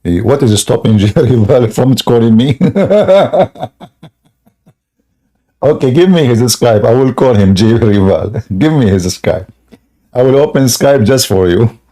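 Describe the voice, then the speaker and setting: A man hosting a live debate stream in a scoffing, jokey way, laughing often and clearing a sore throat. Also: mid-range voice, expressive, a little slow, dark tone. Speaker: livestream debate host. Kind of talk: mocking live debate chat.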